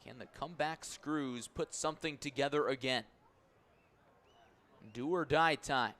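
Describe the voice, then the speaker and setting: A man's voice: medium pitch, a little slow, fairly bright tone. A man doing live baseball play-by-play on the radio in clipped phrases, stretching key words. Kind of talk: live sports commentary.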